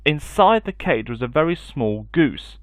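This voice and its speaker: A man's voice: on the high side, big pitch swings, a little slow, a bit bright, no echo, clean studio sound. A man reading a children's story expressively as a storyteller.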